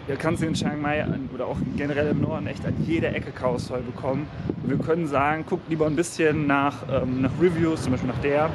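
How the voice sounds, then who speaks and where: A young man vlogging casually, chatty and eager to give viewers tips. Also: a little high. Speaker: travel vlogger. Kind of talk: casual food vlog chat. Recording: loud street noise behind.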